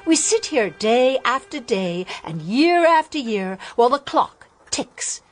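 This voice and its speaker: A young actress playing a rebellious, impassioned daughter in a radio drama. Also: female voice, a little high, very animated, unhurried, soft background music. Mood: defiant. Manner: emotional, defiant, impassioned.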